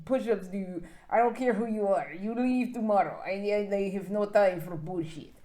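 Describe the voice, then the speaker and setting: A woman doing a burly, grizzled old Russian man who lives alone in the woods. He speaks in a curt, gruff way with a thick Russian accent. The voice sits high for such a big man. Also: moderate pace, warm tone, close to an everyday voice. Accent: Russian, put on.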